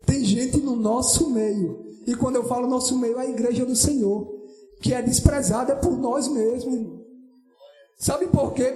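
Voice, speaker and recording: A man's voice: extremely high pitch, mellow. A Brazilian man preaching an impassioned evangelistic sermon, emphatic and given to repeating phrases and exclaiming praise. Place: church hall during evening service. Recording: echoey church hall PA, faint music.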